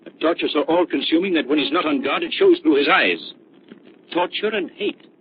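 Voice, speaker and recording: An actor playing a confident, deliberate gentleman detective in a dramatic 1930s radio style. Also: on the high side, lively intonation, close to an everyday voice. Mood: grave. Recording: tinny, noisy 1930s radio recording.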